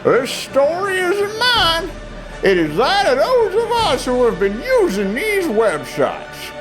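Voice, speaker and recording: A woman's voice: very high voice, huge pitch swings, slow pace, poor audio. A woman giving a theatrical, solemn dramatic reading of a manifesto over choral music. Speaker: reader. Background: dramatic orchestral choral music.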